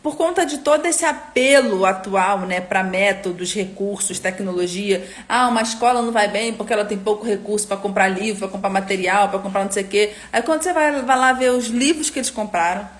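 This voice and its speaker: A young adult woman from Rio de Janeiro with a softened Carioca accent, explaining a teaching method in a live online class, often tagging sentences with little checks to her listeners. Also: medium pitch, a little fast, hardly any pauses. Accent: Carioca Brazilian Portuguese, softened. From Rio de Janeiro, Brazil. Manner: explanatory, frequent tag questions. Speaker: educator and course host.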